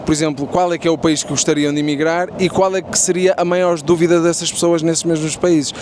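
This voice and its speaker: A young man with a European Portuguese accent runs a casual street interview, friendly and talkative, with restarts and fillers. His voice sits a little high for a man. Faint city traffic hums behind him outdoors. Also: fairly even intonation, a little fast, a little mellow. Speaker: street interviewer and content creator.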